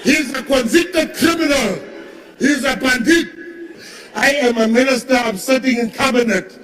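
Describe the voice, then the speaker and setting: A man's voice: very high-pitched, fairly bright tone. A man, a cabinet minister, giving an emphatic, mocking parliamentary speech. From South Africa. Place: large parliament chamber. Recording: echoey hall from far off.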